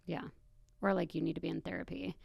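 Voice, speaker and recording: A woman's voice: on the low side, flat delivery, fast, gravelly, darkish tone, slightly rough, no room sound, clean studio sound. A young American woman hosting a casual chat with a friend in a teasing, giggly manner.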